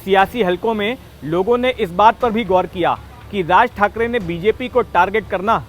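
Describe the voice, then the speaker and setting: High-pitched man narrating political news explainer. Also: moderate pace. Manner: emphatic storytelling with rhetorical questions. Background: background music.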